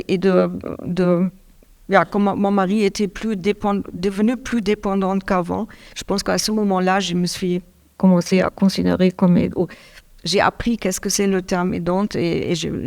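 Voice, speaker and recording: A middle-aged woman from Germany speaking French with a German accent, giving a hesitant, halting caregiver's testimony with many restarts. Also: on the low side, steady tone, vocal fry, a little mellow. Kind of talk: public testimony interview.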